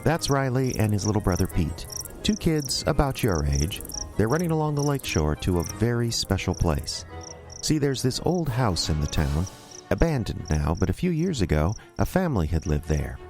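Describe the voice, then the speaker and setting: A man's voice: lowish voice, big pitch swings, moderate pace. A man acting as a folksy storyteller, narrating an audio drama directly to listeners. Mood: folksy, wistful. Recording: music behind.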